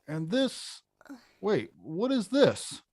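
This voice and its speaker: A woman's voice: medium pitch, very animated, drawn-out words, darkish tone, dry recording, studio quality, heavily acted. A woman playing a big, clumsy, good-hearted man coaching someone in a cartoon script read, blunt and a little hesitant. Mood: explaining, unsure. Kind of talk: live animated-film table read.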